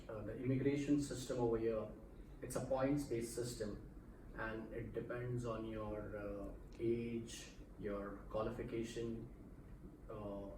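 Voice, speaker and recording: A man from Mumbai, a guest explaining things in a measured way with frequent pauses. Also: mid-range voice. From India, Mumbai. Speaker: interview guest. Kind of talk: casual interview. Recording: roomy echo, mic at a distance, faint background hum.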